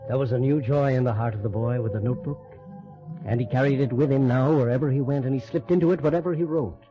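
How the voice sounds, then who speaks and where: An actor playing a solemn narrator in an old-time radio drama, telling the story in measured, lyrical phrases. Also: male voice, medium pitch, natural voice. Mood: solemn storytelling. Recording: dull old radio recording under music.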